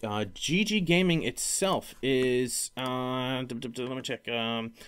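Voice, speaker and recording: A man hosting a solo podcast, talking casually in a rambling, halting way and often correcting himself. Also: medium pitch, fairly bright tone, clean tone. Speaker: podcast host.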